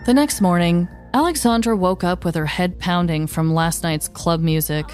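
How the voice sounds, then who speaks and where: A woman's voice: lowish voice, moderate pace, a little mellow, soft background music. A woman podcast host narrating a scripted comedic story.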